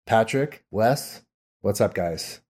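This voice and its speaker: A man's voice: lowish voice, lively intonation, slow pace, darkish tone. A man hosting a podcast, talking casually with frequent fillers and restarts. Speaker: podcast host. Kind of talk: casual interview chat.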